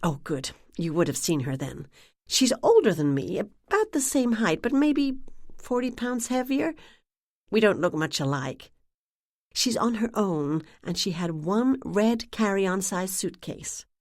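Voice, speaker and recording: A woman voicing a woman who puts on a giggly, gossipy act with a New England accent, dropping to a confiding hush at times. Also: mid-range voice, sing-song, unhurried, no room sound, clean studio sound, pitched above the performer's own voice. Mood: chatty. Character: woman posing as a giggly, chatty lost sister.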